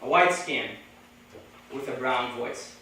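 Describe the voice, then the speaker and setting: A man from Brazil with a Brazilian Portuguese accent, Portuguese being his native language, gives a measured academic talk from a podium at a conference. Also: medium pitch, pushed and bright, slight background noise. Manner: measured, deliberate delivery with pauses. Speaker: conference speaker. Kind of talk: academic lecture. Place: large echoey lecture hall. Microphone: podium microphone. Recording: echoey hall, distant mic.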